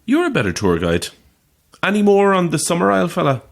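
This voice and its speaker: A man's voice: high voice, huge pitch swings, brisk, creaky, exaggerated voice. A man playing a sceptical, grumbling holidaymaker in a naturalistic audio horror drama, with a dry, terse, questioning manner. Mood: intrigued. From Ireland.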